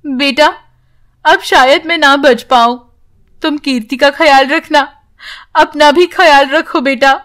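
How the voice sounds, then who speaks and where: An anxious village mother in a storybook tale, voiced by a woman. She is protective and emotional, with a very high voice and slow, careful delivery. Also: steady tone, a bit bright. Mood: desperate. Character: worried, protective village mother of two daughters. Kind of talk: children's storybook character voice.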